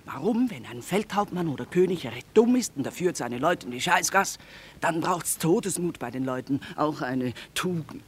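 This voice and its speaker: A woman's voice: low voice, animated, normal speed. An actress playing a shrewd middle-aged market trader and mother, sardonic and earthy, in radio drama style. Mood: sardonic lecturing. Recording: noisy old radio play recording.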